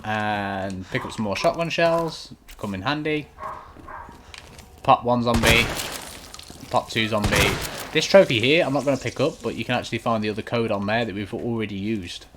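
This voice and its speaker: Low-voiced man doing casual game walkthrough, noisy recording. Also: normal speed. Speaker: gaming video host giving a walkthrough. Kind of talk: casual instructional gameplay commentary. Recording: clearly noisy home recording.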